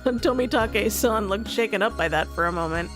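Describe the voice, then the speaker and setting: A woman's voice: medium pitch, a little fast, fairly bright tone, soft background music. A woman streaming a visual novel, reading narration aloud with giggly, amused asides. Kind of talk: live game stream with read-aloud narration and reactions.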